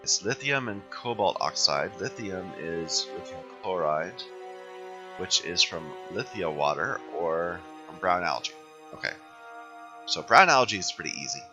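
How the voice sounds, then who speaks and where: A man with a hoarse voice from being sick, hosting a game playthrough and thinking aloud as he plays, often correcting himself. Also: low-pitched, animated, unhurried, over music. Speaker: let's play host. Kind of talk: casual gameplay commentary.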